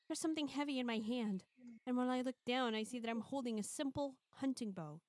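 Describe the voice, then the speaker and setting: A woman's voice: fairly high pitch, moderate pace, a little mellow, dry recording. A woman live-streaming, reading a visual novel aloud and chatting casually between passages.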